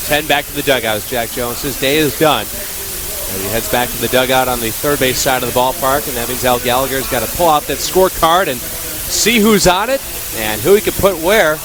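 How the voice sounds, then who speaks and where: A man's voice: medium pitch, brisk, a crowd in the background. A man giving live radio baseball commentary in a wordy delivery full of statistics and detail. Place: ballpark broadcast position. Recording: hissy, noisy radio broadcast recording.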